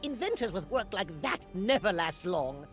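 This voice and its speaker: A man's voice: high-pitched, very animated, moderate pace, vocal fry, music in the background, exaggerated voice. An actor voicing a small, eccentric male scientist creature in a video game, pompous and theatrical, rolling his Rs. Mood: smug, brisk. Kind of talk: video game character voice lines.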